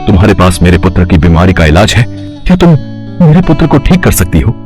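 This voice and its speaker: Regal king and father for children's tale, male actor: low-pitched, formal, stately, over background music. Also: big pitch swings, fast, darkish tone. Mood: worried. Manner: formal, regal, speaks as royal "we". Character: worried, grateful, stern old-fashioned king and father. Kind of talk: Hindi moral storybook acting.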